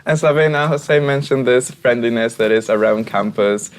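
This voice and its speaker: A man's voice: medium pitch, moderate pace. A young man with an Italian accent, hosting a panel talk. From Italy. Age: young adult. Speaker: talk show host, former student.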